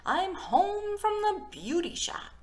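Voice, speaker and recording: Lady doing a cheeky little kid from a storybook, super animated, emphatic, echoey. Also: very high-pitched, slow pace, voice pushed up, exaggerated voice. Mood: excited. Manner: emphatic, childish phrasing. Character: cheeky, excitable, stubborn little girl storyteller. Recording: roomy, echoey room sound.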